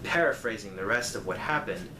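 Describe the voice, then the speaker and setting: Low-voiced man in an echoey room. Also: expressive, brisk. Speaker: storyteller vlogger. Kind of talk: casual personal storytelling to viewers. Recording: roomy echo, clear background noise.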